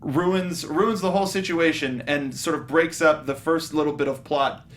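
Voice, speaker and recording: A man's voice: on the high side, normal speed. Man hosting a casual film panel. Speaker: host of a film review show.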